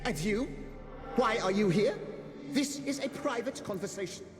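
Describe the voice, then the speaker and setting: The bickering ghost of a gambling nobleman in a high, wildly swooping voice. He sounds indignant and exasperated, and the game audio carries a long reverb with clear music underneath. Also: animated, moderate pace. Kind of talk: video game voice acting.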